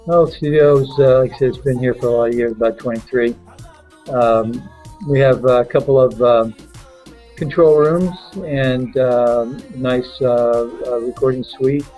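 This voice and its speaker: A man who owns a recording studio, answering interview questions in a measured way with frequent fillers. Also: mid-range voice, fairly even intonation, dark tone, soft background music. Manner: measured, listing details with fillers.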